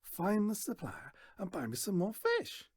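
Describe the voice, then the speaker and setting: A man's voice: sits high, huge pitch swings, unhurried, slightly rough, no room sound, studio quality, voice pushed up, heavily acted. A man voicing a folksy, patronising fairground stallholder who mentors a boy in a storybook reading. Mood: offhand. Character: brisk, exasperated older fairground stallholder mentoring a lad.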